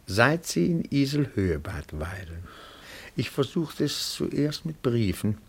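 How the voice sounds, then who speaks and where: An actor playing a timid, reverent elderly retired bookkeeper, pedantic and deferential, with a gravelly, breathy voice in a German radio play. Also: male voice, mid-range voice, sing-song, exaggerated voice. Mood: deferential, earnest. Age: older. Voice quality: gravelly, husky, often breathy. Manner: deferential, pedantic, slow and careful.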